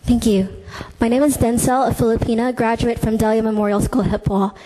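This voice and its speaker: A woman's voice: fairly high pitch, fairly even intonation, fairly quick, warm tone, low-quality recording. A young woman, a university student, reading out earnest, critical testimony at a committee hearing through a desk microphone. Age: young adult. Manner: earnest, critical, read-out delivery. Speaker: student deputation speaker. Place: legislative committee meeting room.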